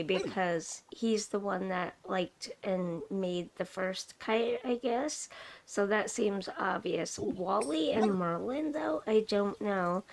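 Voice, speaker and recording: A woman hosting a gaming video, narrating casually and chattily in a slightly rough, raw voice as she recovers from a cough. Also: mid-range voice, noisy background. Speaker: host of a gaming video series. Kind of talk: casual gameplay narration voice-over.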